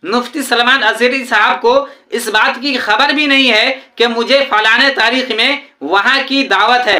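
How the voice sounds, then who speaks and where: A woman's voice: fairly high pitch, sharp tone. A woman presenting a video with scripted explanatory narration. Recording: roomy echo on voice.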